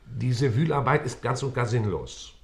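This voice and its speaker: A man's voice: mid-range voice, normal speed, gravelly, close to an everyday voice. An actor playing a stern, impatient interrogator in a radio play, curt and skeptical in his delivery. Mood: exasperated. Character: stern, impatient male interrogator demanding a motive. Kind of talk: German radio play dialogue.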